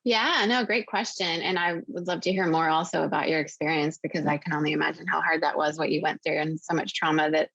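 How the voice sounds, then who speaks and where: Casting note: woman in her forties with a low, gravelly voice, articulate guest, slightly dull recording. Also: fairly even intonation, brisk, few pauses, a bit bright, no room sound. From born in the US, raised in Venezuela. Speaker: guest, podcast host. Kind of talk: interview conversation.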